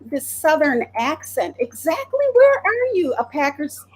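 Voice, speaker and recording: A woman from Wisconsin hosting a podcast, chatting casually and telling animated stories. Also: high-pitched, unhurried, poor audio. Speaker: podcast host. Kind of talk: casual chat.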